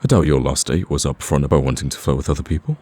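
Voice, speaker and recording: Man acting as smitten villain, rock-bottom pitch, dark mellow tone, cocky, teasing, persistent, romantic ASMR roleplay. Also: fast talker, dry recording. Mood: earnest wooing. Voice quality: husky, very deep. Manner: smooth-talking, teasing, earnest pleading.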